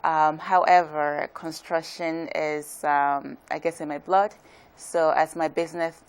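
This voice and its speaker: A woman from Ghana, a building contractor, speaks in a very low, deep voice. She answers softly and hesitantly, filling pauses with "um.". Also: steady tone, clear, resonant tone. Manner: soft-spoken, hesitant, frequent "um"s. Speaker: contractor, guest. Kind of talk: interview answers.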